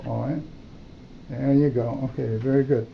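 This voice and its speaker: A man's voice: medium pitch, level tone, moderate pace, creaky. An older man working as a doctor, giving short exam instructions and brief encouragement to a patient in a hospital room. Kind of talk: clinical exam instructions, short commands and praise. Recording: dull, lightly noisy room recording.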